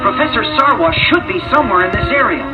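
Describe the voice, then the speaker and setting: A young heroic pilot leading his team in a storybook cartoon. His voice is very high and animated, heard through a dull, echoing channel with music. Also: fast, distant mic. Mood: urgent. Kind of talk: dramatized children's storybook acting.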